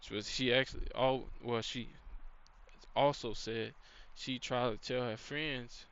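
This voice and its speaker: A man's voice: medium pitch, steady tone, measured pace, fairly bright tone, smooth, muffled recording. A man giving a casual faith-based lesson, rambling and loose, dropping "you know" and "man" throughout. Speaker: channel host giving a faith-based teaching.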